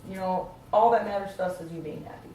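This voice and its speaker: A woman's voice: medium pitch, quick pace, mellow, close to an everyday voice. A woman playing a supportive, earnest mother in a family therapy role-play, talking in a reassuring way. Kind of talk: role-played therapy session. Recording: very echoey room, distant mic, faint noise.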